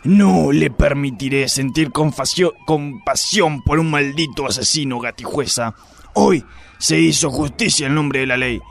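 Blustering, crude police inspector, played by a man, gravelly and pompous, in a radio play. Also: a little high, a little slow. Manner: pompous, lecherous, theatrical.

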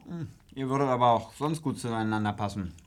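A man's voice: medium pitch, lightly acted. Stiff, awkward middle-aged father played by an actor. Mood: teasing. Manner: halting, stiff, paternal. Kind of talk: radio play dialogue.

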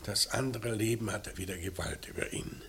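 Schauspieler als trockener, bohrender Kommissar im halligen Raum. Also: male voice, on the low side, steady tone, moderate pace, fairly bright tone, lightly acted. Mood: quietly probing. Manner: terse questioning with dry asides. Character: male police inspector, dry, probing, quietly authoritative. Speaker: actor in a radio crime drama. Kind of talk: German radio play acting. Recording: roomy sound with faint noise.

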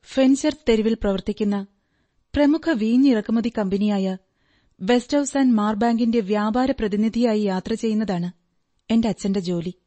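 A woman's voice: fairly high pitch, moderate pace, warm tone, close to an everyday voice. A woman playing a polite, composed young client of a detective in a radio drama, speaking formally and precisely.

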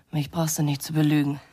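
A woman's voice: sits low, darkish tone, husky, heavily acted. An actress playing a cool, proud and reproachful woman lover in a German radio crime drama. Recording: radio play with music behind.

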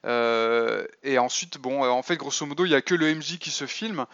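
A man talks through his thoughts at a middle pitch, pausing often on fillers and restarting his phrases. The sound is dry, with no room in it, and a little muffled at the top. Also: fairly even intonation, a bit bright, no room sound. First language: French. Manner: hesitant, many fillers and restarts. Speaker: podcast host. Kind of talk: casual spoken essay on role-playing.

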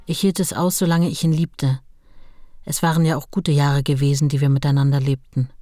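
A woman's voice: low voice, fairly even intonation, a little fast, mellow, no room sound, natural voice. Actress as tired, hurt wife remembering, plain talking woman, super dry close sound, radio drama. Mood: sad, resigned. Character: novelist's hurt, weary wife looking back. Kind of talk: radio play, reminiscence.

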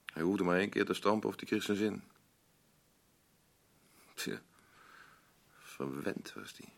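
An actor playing a blunt, rambling working-class husband in a radio drama, boastful, self-pitying and defensive. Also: male voice, sits low, a little slow, creaky, heavily acted. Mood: defensive, brooding. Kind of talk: naturalistic radio drama dialogue.

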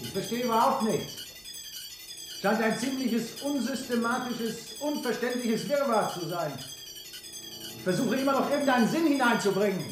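An actor playing a dryly unworried scientist in a 1950s radio drama, with a casual manner of speaking. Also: male voice, extremely high pitch, moderate pace, over music. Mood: baffled, strained. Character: dryly unworried male scientist, volunteering as guinea pig.

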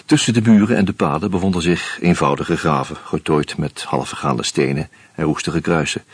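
An actor playing a wry, uneasy screenwriter who narrates a radio play in a measured, reflective way with hesitant fillers. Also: male voice, rumbling low, husky, no room sound. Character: wry, uneasy male screenwriter caught up in murders.

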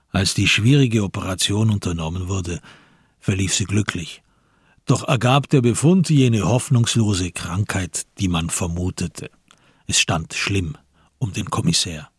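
A man narrating a radio crime play in a husky voice, with measured, literary storytelling. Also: deep voice, no echo, clean studio sound. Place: dry radio studio.

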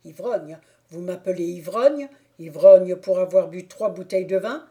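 A woman's voice: on the low side, a little slow, warm tone, natural voice. A woman voicing a drunken young clog-maker who is touchy, quarrelsome and boastful.

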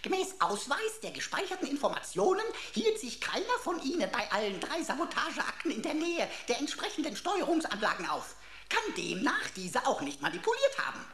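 A pompous pocket computer from a sci-fi radio play, with a high, very animated voice. It speaks in florid mock-formal phrases, teasing and theatrical. The sound is roomy, with faint noise. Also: female voice, a little fast, heavily acted. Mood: pompous, triumphant. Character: pompous, emotional talking pocket computer, a sarcastic sleuth sidekick. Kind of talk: German radio play acting.

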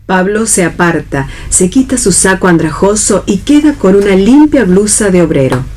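A woman's voice: mid-range voice, animated, moderate pace, a little mellow, slight background noise. A woman reading stage directions as narrator of a radio play. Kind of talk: read narration for radio theatre.

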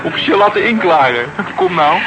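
A cheeky, persistent man in a Dutch radio play. His fairly high voice has a Netherlands accent and a colloquial, needling way of questioning. Prominent music plays behind him. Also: lively intonation, moderate pace, lo-fi sound. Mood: challenging. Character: cheeky, teasing, persistent male bar regular. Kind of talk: naturalistic radio play dialogue. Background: loud music.

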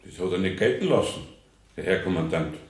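An actor playing a plain-spoken Bavarian master carpenter giving testimony in a radio play, respectful but dryly resigned. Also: male voice, sits low, animated, fairly quick. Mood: bitter, dry. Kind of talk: German radio play courtroom acting. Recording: roomy 1970s radio drama studio.

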